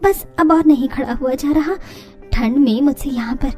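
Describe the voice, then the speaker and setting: A woman plays a young daughter-in-law in a cartoon family story. She is cheerful, confident and a little sly, with a high voice. Faint music sits behind her. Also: normal speed, dark tone, lightly acted. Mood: secretive, pleased.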